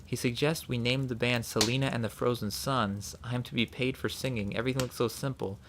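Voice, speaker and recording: Gravelly man narrating gameplay. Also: mid-range voice, fairly even intonation, a little fast, noisy background. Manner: thinks aloud, reads in-game notes aloud. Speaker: let's play host. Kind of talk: casual gameplay commentary.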